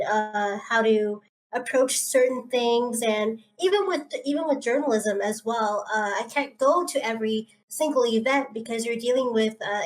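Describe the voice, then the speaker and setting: A woman from Canada speaks in a thoughtful, hesitant way on a podcast. Her voice sits a little high. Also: rough recording. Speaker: podcast co-host, journalist. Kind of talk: casual podcast chat.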